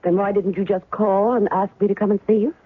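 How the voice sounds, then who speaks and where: An actress playing a wary, sharp-tongued court stenographer in a 1970s radio drama, with quick retorts and nervous stammers when rattled. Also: female voice, mid-range voice, fairly even intonation, breathy edge, dry recording, natural voice. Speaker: first-person narrator and lead character. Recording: tinny, lo-fi old radio broadcast with faint hiss.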